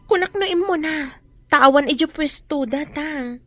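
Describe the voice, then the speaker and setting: Radio drama eldest daughter: very high voice, young, earnest, worried, responsible. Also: female voice, measured pace, dry recording, faint music behind. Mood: reproachful, worried. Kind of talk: Ilocano radio drama acting.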